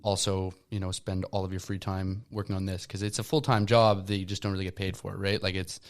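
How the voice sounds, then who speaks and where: A man's voice: low-pitched, flat delivery, fairly quick. A man of about twenty, a band member giving laid-back interview answers. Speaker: band musician guest.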